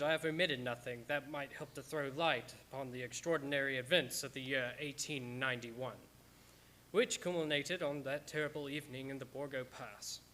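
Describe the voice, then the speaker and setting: A man playing a solemn Victorian doctor in a radio play, narrating in a formal, measured way. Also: on the high side, bright, forceful tone. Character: solemn Victorian gentleman doctor presenting evidence.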